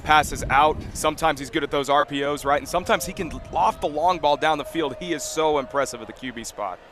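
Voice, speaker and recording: A man's voice: on the high side, brisk, music in the background. A man giving conversational, emphatic color analysis on a live football radio broadcast, repeating phrases as he explains.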